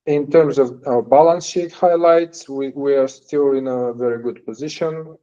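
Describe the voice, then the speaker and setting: A man presenting financial results as a company executive on an earnings call, in a halting, hesitant delivery with frequent pauses. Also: medium pitch, mellow. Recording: dull, lo-fi call audio.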